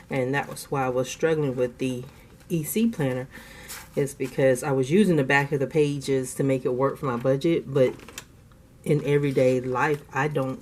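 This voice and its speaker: A woman hosts a casual budgeting vlog at home, her voice low and mellow. She sounds a little congested and clears her throat now and then. She chats easily, laughs often and counts bills out loud. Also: slight background noise. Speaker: budgeting channel host.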